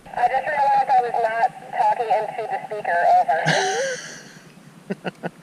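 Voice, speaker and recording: A woman's voice: a little high, normal speed, a little mellow, slight background noise, low-quality recording. A woman helping with a casual walkie-talkie test outdoors, speaking in short exchanges. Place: outdoors by a pond.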